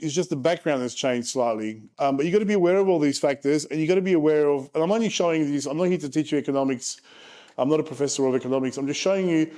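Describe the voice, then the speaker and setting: A man in his forties with a slightly raised pitch, talking frankly and casually through charts. He drops joking asides as he explains the numbers to investors. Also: dark tone. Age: 40s. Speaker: property investment presenter. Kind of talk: casual presentation over charts.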